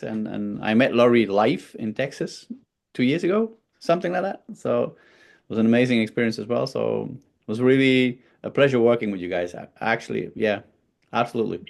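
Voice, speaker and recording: Male guest from Belgium, relaxed casual chat, some fillers, gravelly tone. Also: medium pitch.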